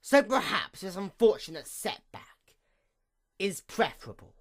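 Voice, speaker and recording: A woman voicing a bitter, envious dark goddess pony in a grandiose, brooding dramatic monologue. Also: mid-range voice, very animated, a little slow. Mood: sorrowful, envious. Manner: grandiose, brooding, theatrical, formal.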